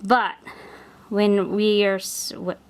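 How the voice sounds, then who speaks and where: Filipino woman in her 40s, slow and chatty, hobby vlogger muttering while she stitches. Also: medium pitch, little pitch movement, noisy background. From Philippines. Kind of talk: casual stitch-along chat.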